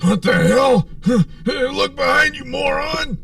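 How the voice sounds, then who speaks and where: A pompous armored superhero as acted by a man, hapless and melodramatic, swinging wildly in pitch over a gravelly creak. He speaks in slow, boastful, exasperated phrases for a comic audio serial. The recording sounds distant, with some noise behind. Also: expressive, a bit bright, exaggerated voice. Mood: panicked.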